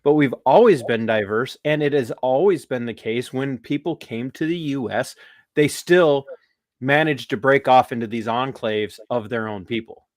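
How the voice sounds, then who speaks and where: Dry, rambling American podcast host. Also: male voice, medium pitch, moderate pace, dry recording. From United States. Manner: rambling, animated, with restarts and laughs. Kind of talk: casual political talk.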